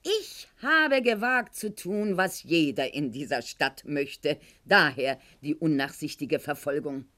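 An actress playing a proud, aging aristocratic wife at court in a radio play, speaking formally and precisely, with haughty theatrical declamation. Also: female voice, low-pitched, lively intonation, takes it slowly, hardly any pauses, a bit bright, full character voice. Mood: indignant, bitter.

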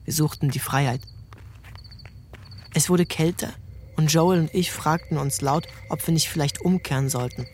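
A man narrating a literary story in a calm, measured way. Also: a little high, a little hiss or hum. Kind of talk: scripted narration.

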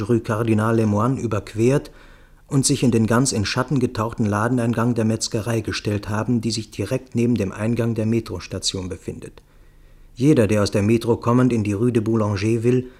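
An actor playing an observant man recounting events in the first person in a radio play, measured and sober. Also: lowish voice, a little mellow, lightly acted. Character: observant male friend recounting a tense night in Paris.

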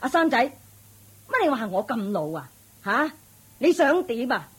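A woman's voice: on the high side, big pitch swings, a little slow, breathy edge, heavily acted. A woman playing a haughty, domineering rich matriarch and mother-in-law in a radio drama, scolding and mocking. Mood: scornful. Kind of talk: Cantonese radio drama acting.

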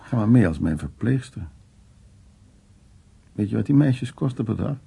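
An older art restorer in a naturalistic radio play, genial and stubborn. His low voice is very husky and full of creak, and he talks in a teasing, informal way that waves worries aside. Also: lively intonation, moderate pace, warm tone, exaggerated voice. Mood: brisk, reassuring. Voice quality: husky, gravelly. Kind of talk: naturalistic radio drama acting.

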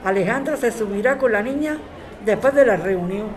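A woman's voice: fairly high pitch, lively intonation, moderate pace, some chatter behind, natural voice. A woman playing a kind, composed neighbour facing eviction, talking conversationally in an audio drama. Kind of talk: scripted amateur radio drama.

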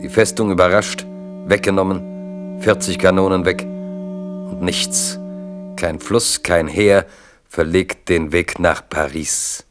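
Very deep, slow male narrator. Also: steady tone, faint music behind. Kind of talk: literary radio drama narration.

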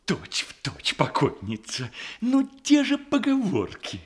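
An actor playing a jovial, boastful older hussar officer, a grey-moustached widower, in a verse comedy radio play with hearty, teasing declamation. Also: male voice, high voice, huge pitch swings, slow, heavily acted. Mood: bluff, rueful.

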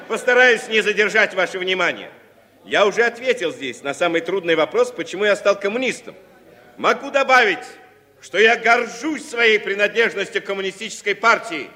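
An actor playing a proud, defiant defendant, speaking firmly and emphatically like an orator in an old radio drama. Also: male voice, sits high, expressive, normal speed, bright, forceful tone. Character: defiant, proud communist defendant, principled man on trial. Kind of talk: Soviet radio drama acting. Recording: 1960s radio recording, reverberant hall.

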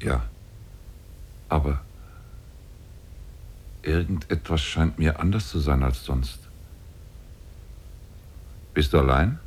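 An actor playing a powerful, lovestruck and possessive demon in a 1950s German radio play, speaking solemnly. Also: male voice, takes it slowly, long pauses, exaggerated voice. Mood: wary. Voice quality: very deep, husky.

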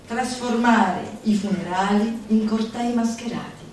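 A woman performer reading a manifesto as theatrical declamation, deliberate and declamatory, with dramatic pauses. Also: a little high, darkish tone, faint music behind. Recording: roomy, echoing, distant mic, faint noise.

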